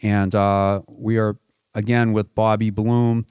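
Low, smooth-voiced male radio host. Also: level tone, slow, a little mellow, dry recording, studio quality. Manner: interrupts to clarify, repeats words.

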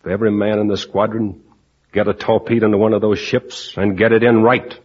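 An actor playing a tough, commanding wartime navy squadron leader who gives clipped, slangy orders in 1940s radio style. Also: male voice, lowish voice, little pitch movement, fairly quick, loud music behind the voice, natural voice. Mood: resolute. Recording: dull, noisy old radio recording.

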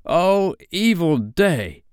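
Anxious storybook pirate deckhand, man performing: high, animated, gullible. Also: fast, no room sound, clean studio sound. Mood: dismayed. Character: Starkey, nervous, gullible pirate crewman.

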